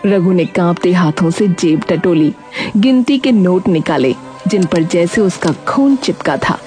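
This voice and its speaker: Lively, expressive female story narrator. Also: fairly low pitch, unhurried. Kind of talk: dramatic scripted story narration. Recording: background music throughout.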